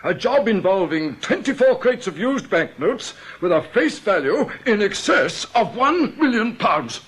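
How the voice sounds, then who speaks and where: A man's voice: sits high, big pitch swings, a little slow, fairly bright tone, slightly rough, full character voice. A man playing an anxious, stubborn English banker in a radio drama, prim and formal in manner. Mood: insistent. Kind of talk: British radio drama acting.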